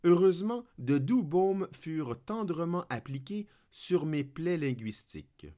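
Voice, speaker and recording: A man's voice: fairly high pitch, lively intonation, measured pace, dark tone. A man from Quebec with a Québécois French accent, hosting a podcast and reading a scripted humorous monologue full of declamatory wordplay. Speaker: podcast host.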